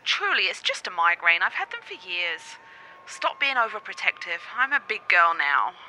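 A woman's voice: mid-range voice, big pitch swings, moderate pace, pushed and bright, slight background noise, poor audio. An actress playing a doctor's ailing but strong-willed girlfriend, speaking in a wry, theatrical radio play manner. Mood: wry, stubborn.

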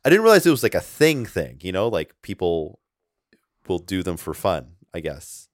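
A man's voice: deep voice, very animated, normal speed, dry recording, studio quality. A man co-hosting a casual fantasy hockey podcast, rambling with lots of fillers and restarts. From half Japanese. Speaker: podcast co-host.

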